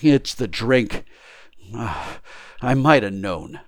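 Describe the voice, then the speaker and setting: A man's voice: mid-range voice, expressive, slow pace, dry recording, studio quality, pitched above the performer's own voice. A man voicing a bewildered, indignant tramp in a storybook, stubborn and blustering, with coarse colloquial speech. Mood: dazed. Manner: colloquial dropped grammar, blustering. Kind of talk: acted audiobook storytelling.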